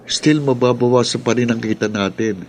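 A man's voice: mid-range voice, moderate pace, a little mellow, a little hiss or hum, close to an everyday voice. An actor with a Filipino accent playing a young man, a grumbling buddy who works as an online creator, in a radio drama. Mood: aggrieved. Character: aggrieved male buddy, a struggling online content creator.

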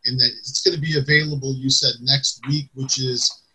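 A man in his forties with a bright, forceful and raspy voice hosts a casual interview. He is on a video call from a room at home. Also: mid-range voice, level tone, moderate pace, low-quality recording. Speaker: interviewer and host.

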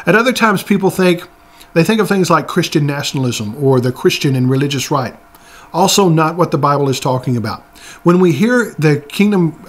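A man in his forties giving an earnest, exhorting Bible teaching to camera in a room lined with bookshelves, often restarting words. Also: fairly high pitch.

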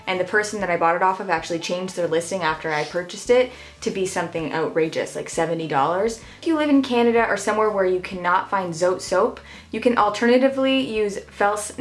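Young woman chatting to camera at home. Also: medium pitch. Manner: chatty, direct, addresses viewers as you guys. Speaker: DIY homesteading vlogger. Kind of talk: casual talk to camera. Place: small indoor home room.

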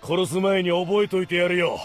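An actor playing a cocky, battle-hungry demon man in an anime game, with rough, taunting, drawling speech. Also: sits high, moderate pace, natural voice. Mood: amused. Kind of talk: Japanese anime game voice acting. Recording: music behind the voice.

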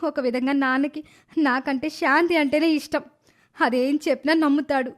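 A woman voicing a sulky, cheeky, scheming little village girl in an animated moral story. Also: high-pitched, normal speed, natural voice. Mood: anxious, resentful. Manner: insolent, talks back to elders.

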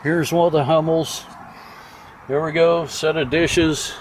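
A man's voice: fairly high pitch, fairly even intonation, measured pace, rough recording. A man giving a casual, folksy off-the-cuff walkthrough of auction items, listing them in short phrases outdoors into a handheld camera. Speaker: auction house presenter. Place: outdoors in an open-air auction yard. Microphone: handheld camera held by the speaker. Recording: outdoor ambient noise.

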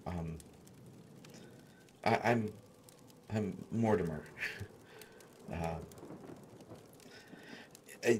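A man playing a gentle, awkward human artificer in a fantasy audio roleplay, stammering and hesitant as he speaks. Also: fairly low pitch, a little hiss or hum. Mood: awkward, nervous. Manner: stammering, hesitant, soft-spoken reassurance.

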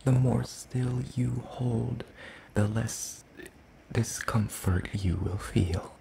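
Man as a slow, gravelly soul-eating demon. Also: mid-range voice, background noise, heavily acted. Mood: sinister, savoring. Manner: slow, halting, hushed delivery with deliberate pauses. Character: hungry male soul-eating demon, soft-spoken, sinister yet apologetic. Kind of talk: ASMR roleplay, soft speaking and whispering.

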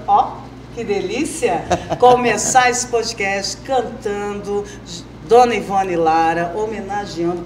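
A woman's voice: medium pitch, echoey room. A Brazilian woman hosting a podcast in an informal, conversational way. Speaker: podcast host. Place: small wood-panelled TV studio, indoors. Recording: clearly noisy room ambience. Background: background noise.

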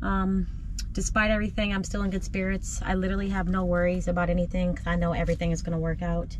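A middle-aged woman vlogging casually to camera from inside her camper van at night, relaxed and upbeat, with plenty of fillers. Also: fairly low pitch, fast, a little hiss or hum. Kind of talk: casual vlog talk to camera. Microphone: camera close up in the van.

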